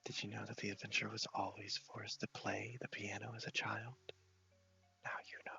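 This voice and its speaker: A man hosting an ASMR game walkthrough, speaking softly and often dropping into a half-whisper. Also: lowish voice, little pitch movement, normal speed, creaky, dull audio. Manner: soft-spoken, half-whispered. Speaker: host of a gaming series. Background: music.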